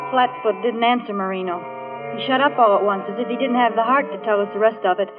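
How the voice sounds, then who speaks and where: Actress as high-voiced hard-boiled dance hall dame, tinny radio. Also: female voice, fairly even intonation, fast talker, close to an everyday voice. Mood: grim narrating. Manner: hard-boiled, slangy, wary. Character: tough, jittery young dance hall hostess narrating her story. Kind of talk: 1940s radio crime drama acting. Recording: tinny band-limited old radio, music behind.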